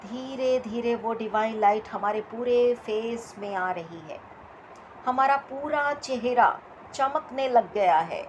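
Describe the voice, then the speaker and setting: A woman guides a meditation in a slightly high voice. She speaks very slowly and soothingly, repeating short phrases and leaving pauses. Faint ambient noise sits behind her. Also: poor audio. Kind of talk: guided meditation, slow spoken instructions.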